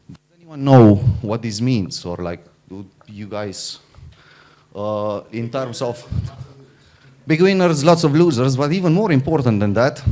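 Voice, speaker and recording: A man's voice: mid-range voice, darkish tone. A middle-aged man from Romania, giving an informal conference talk, often restarting and repeating words. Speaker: conference speaker, fund founder.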